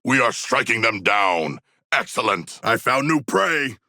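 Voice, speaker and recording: A man voicing a formal, bloodthirsty yet childlike humanoid shark warrior for a video game, with gravelly, stilted, grandiose speech. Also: medium pitch, very animated, unhurried, pushed and bright, dry recording, studio quality, heavily acted. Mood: composed, commanding.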